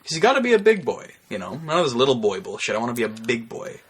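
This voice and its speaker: A man's voice: medium pitch, very animated, fast, noisy background. A young man chatting casually as a podcast co-host, rambling in a mock-earnest, foul-mouthed way. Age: young adult. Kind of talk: casual comedic chat.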